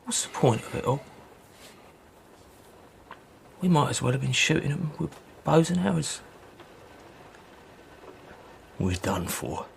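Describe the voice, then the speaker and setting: A man acting a brisk, blunt soldier in a period radio drama, shaken by war but practical, with terse, plain-spoken, bossy delivery. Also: on the high side, big pitch swings, vocal fry, a little hiss or hum, exaggerated voice. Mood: despairing.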